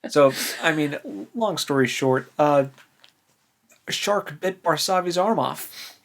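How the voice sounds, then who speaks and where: A podcast co-host chatting casually about books, recapping in an animated way with relaxed slang. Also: mid-range voice, slow. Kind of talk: casual book discussion chat.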